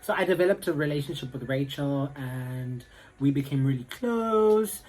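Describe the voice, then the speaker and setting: A man's voice: medium pitch, animated, normal speed, a little mellow. A young man with a South African accent, chatting casually to camera in a rambling way full of fillers. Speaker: vlogger.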